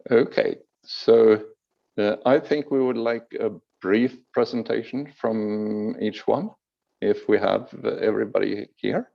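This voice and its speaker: A man's voice: lowish voice, measured pace, vocal fry, lo-fi sound. A man chairing an online meeting, calling on speakers in a brief, polite way.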